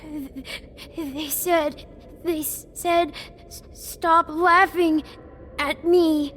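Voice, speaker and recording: A woman's voice: high-pitched, fairly even intonation, extremely slow, fairly bright tone, a little hiss or hum, heavily acted. A woman voicing a frightened, guilty young toad boy in a video game, hesitant, stammering and apologetic. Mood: tearful, pleading.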